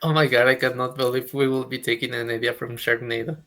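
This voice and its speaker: A man co-hosting a live stream, reading viewer questions aloud and reacting excitedly. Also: mid-range voice, quick pace. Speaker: co-host community manager. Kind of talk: casual live stream chat.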